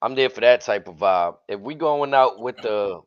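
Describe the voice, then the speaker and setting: A man co-hosting a casual wrestling talk stream, his voice a bit hoarse and raspy from yelling, with relaxed slangy banter. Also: mid-range voice, normal speed, dry recording. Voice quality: slightly raspy. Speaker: wrestling show co-host. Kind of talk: casual livestream chat. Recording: dull dry lo-fi stream audio.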